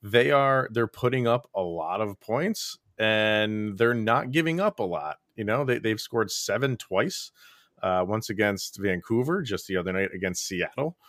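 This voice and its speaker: Chatty man with a low, dry voice. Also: lively intonation, unhurried, a bit bright, no room sound. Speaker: podcast guest. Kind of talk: casual sports chat.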